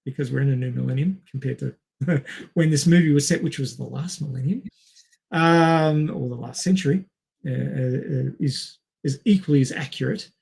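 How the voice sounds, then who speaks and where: A man co-hosting a casual film discussion, explaining things in a wordy, halting way with tangents. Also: medium pitch, creaky, darkish tone, husky. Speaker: podcast co-host.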